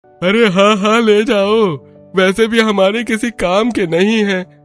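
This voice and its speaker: A man's voice: high voice, fairly even intonation, slow pace, soft background music. A man playing an obliging, offhand farm worker in a cartoon story. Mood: agreeable. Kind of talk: Hindi cartoon story dubbing.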